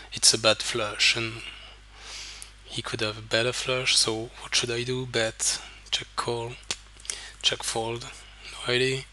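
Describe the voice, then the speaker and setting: A French-accented man whose first language is French speaks slowly, taking his time over each point. His voice has a bright, forceful edge. He walks through poker decisions in a halting, thinking-aloud way and often repeats words as he goes. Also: mid-range voice, rough recording. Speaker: poker coach. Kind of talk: explaining hands over screen recording.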